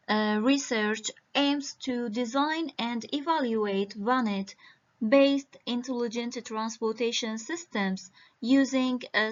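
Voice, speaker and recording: A woman from Iraq giving an academic conference presentation over a video call, halting and full of hesitations. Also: on the high side. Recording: muffled lo-fi video-call audio.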